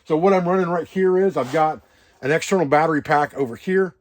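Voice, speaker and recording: A man's voice: on the high side, a little fast. Casual man explaining gear. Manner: informal, rambling, explanatory. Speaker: outdoor preparedness instructor and video host. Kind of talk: casual instructional vlog talk. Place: wall tent at a hunting camp.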